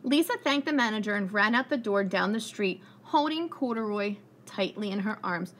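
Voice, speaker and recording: A woman preschool teacher reading a picture book aloud to young children with expressive storytelling. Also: medium pitch. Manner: expressive storytelling, pausing to ask the children questions. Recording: clearly noisy room recording.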